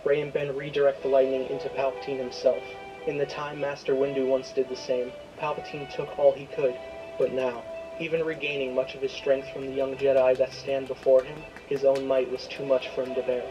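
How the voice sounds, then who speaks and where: A man's voice: medium pitch, flat delivery, a little fast, dull audio. A man reading a scripted, dramatic story as its narrator. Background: prominent music.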